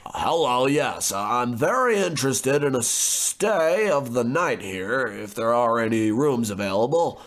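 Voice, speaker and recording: Man playing a slow, pompous gentleman traveler. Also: mid-range voice, very animated. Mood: polite, self-satisfied. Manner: formal, fussy, hesitant stammering when rattled. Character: well-to-do, pompous, easily exasperated gentleman traveler. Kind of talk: old-time radio horror comedy acting.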